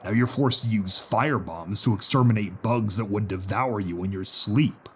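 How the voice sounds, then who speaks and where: A man's voice: on the low side, normal speed, slight background noise, close to an everyday voice. A man voicing an angry, cynical zebra survivor with a stern, formal delivery in an audio drama. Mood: cold deflecting. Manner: stern, cold, formal phrasing. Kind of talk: audio drama character acting.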